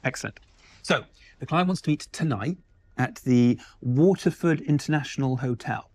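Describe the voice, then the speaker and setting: A male actor plays a kindly boss in an audio drama. His voice sits at an ordinary middle pitch, and he speaks in a friendly, easygoing, encouraging way. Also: moderate pace, darkish tone, natural voice. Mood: friendly, relaxed.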